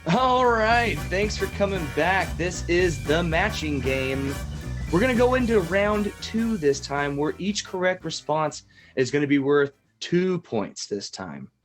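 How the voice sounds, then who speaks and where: A man's voice: fairly high pitch, animated, a little slow, music in the background. A man playing a chatty, teasing game show host in an improvised comedy parody. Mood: brisk, presenting.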